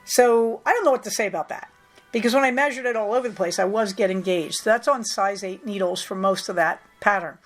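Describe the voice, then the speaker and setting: A woman's voice: mid-range voice, a little fast, soft background music. A middle-aged woman hosting a knitting podcast, chatting through her projects in a wry, matter-of-fact way while she recovers from a cold. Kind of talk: casual chatty project update.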